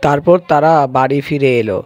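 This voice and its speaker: A man's voice: medium pitch, moderate pace, a little mellow, dry recording. A man narrating an amateur cartoon story informally, with colloquial, repetitive phrasing.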